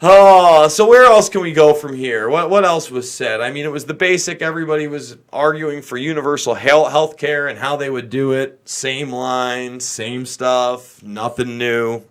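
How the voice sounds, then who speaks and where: A man's voice: medium pitch, moderate pace, hardly any pauses. An American man giving casual, unscripted political commentary as a show host, in a blunt, exasperated, opinionated way.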